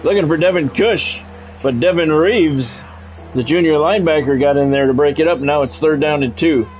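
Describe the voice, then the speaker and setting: A man's voice: a little high, moderate pace, a little mellow, soft background music, poor audio. A man doing sports play-by-play of a video game on a stream, mixed with casual chat with viewers.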